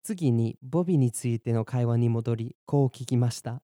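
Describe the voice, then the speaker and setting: A man's voice: medium pitch, warm tone, no echo, clean studio sound. A young adult man, born in Germany and raised in Boston, explaining phrases in Japanese and English as a podcast language teacher. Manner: clear, measured explanations, slows down for repeat drills. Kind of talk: bilingual lesson narration, Japanese and English.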